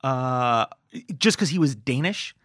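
A man hosting a podcast, teasing his guest in quick comic banter on a close mic. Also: mid-range voice, lively intonation, fairly bright tone, no echo. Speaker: podcast host. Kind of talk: casual comedic chat.

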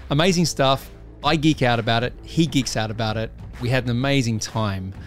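A man's voice: medium pitch, fairly quick, faint music behind. A man from England hosting a podcast interview, chatty and enthusiastic, often restarting his phrases. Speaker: podcast host.

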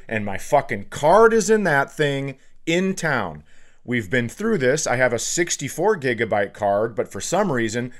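An American man co-hosting a podcast with casual comedic chat in a sardonic, sweary manner. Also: medium pitch. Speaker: podcast co-host.

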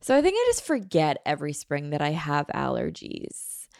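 A woman's voice: low-pitched, wildly swooping pitch, normal speed, gravelly, darkish tone, no room sound, studio quality. A woman hosting a casual solo podcast, chatting and rambling with lots of likes and ums, a little nasal from allergies. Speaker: podcast host.

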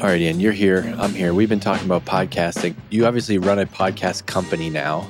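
A man's voice: sits low, steady tone, a little fast, loud music behind the voice. A man talking as a podcast host in a casual, colloquial, informal way. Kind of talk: casual interview chat and scripted ad read.

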